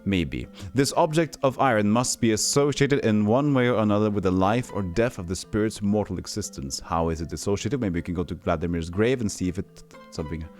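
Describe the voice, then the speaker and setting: A man from Texas with a lowish voice and no regional accent, streaming an old role-playing game. He talks casually to his viewers, reads the game's text aloud and jumps between the game and the chat. Faint game music plays underneath. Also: fairly quick, hardly any pauses, darkish tone. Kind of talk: casual gameplay commentary.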